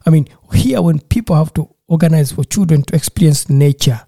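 A man's voice: a little high, a little fast, gravelly, dark tone, no room sound, studio quality. A man from Uganda, working in childcare, talking casually and earnestly in an interview, often repeating and restarting words.

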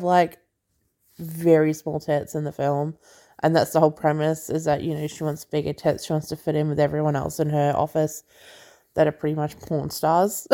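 A woman hosting a movie review podcast in a relaxed, chatty way, laughing often and swearing casually, with a congested, stuffy voice. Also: low-pitched.

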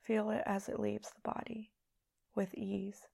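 A woman leading a guided meditation as a podcast host, speaking in a hushed, soothing, unhurried way with long pauses. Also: medium pitch, level tone, gravelly, breathy edge.

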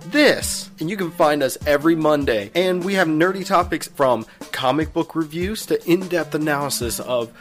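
A woman's voice: low voice, normal speed, hardly any pauses, faint music behind. A woman podcast host reading a scripted promo.

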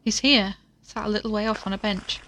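A woman's voice: medium pitch, steady tone, a little fast, bright, forceful tone, a little hiss or hum. A woman playing an anxious, plain-spoken young investigator narrating her journey in an audio drama, casual and hesitant. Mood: wary. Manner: casual, hesitant, uneasy asides. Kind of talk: audio drama acting, recorded diary narration.

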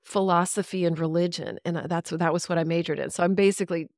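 Chatty woman in her fifties, low-voiced. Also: steady tone, fast talker, darkish tone, dry recording, clean studio sound. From Central Texas, USA. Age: 50s. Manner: reflective, chatty, with easy laughs. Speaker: podcast guest, radio veteran. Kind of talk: casual interview storytelling.

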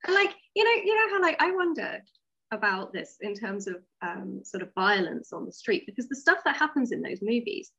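A woman being interviewed as a writer, explaining her ideas in animated, casual conversational bursts. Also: mid-range voice, fairly quick, gravelly, slightly rough, lo-fi sound.

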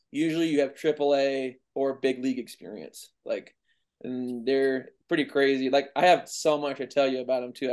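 A young man in his twenties chats easily as a podcast guest. His voice sits at an ordinary middle pitch. He rambles loosely and keeps saying "like" as he explains things. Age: 20s. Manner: relaxed, rambling, heavy use of "like". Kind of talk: casual podcast interview.

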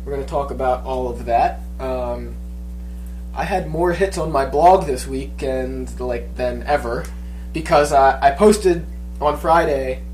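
A man's voice: mid-range voice, a little slow, darkish tone, rough recording. A man with a head cold talks in a stuffy, congested voice, chatting loosely on a podcast. He wanders through his points with plenty of ums and restarts. Faint music plays underneath. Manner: rambling, many fillers and restarts. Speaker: podcast co-host. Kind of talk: casual chat.

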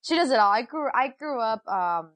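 Fast-talking, laughing woman sports anchor. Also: fairly high pitch, no room sound. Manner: talks fast, laughs often, animated. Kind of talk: casual interview answers.

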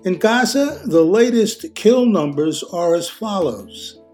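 Mellow older American man, higher-pitched voice. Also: animated, slow pace, faint music behind. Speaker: podcast host. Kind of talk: scripted podcast introduction.

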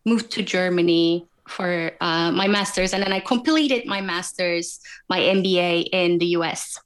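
A woman from Turkey with a Turkish accent, a podcast guest telling her story in a measured, reflective way. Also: fairly low pitch. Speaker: guest, wound healer and coach. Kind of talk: interview answers.

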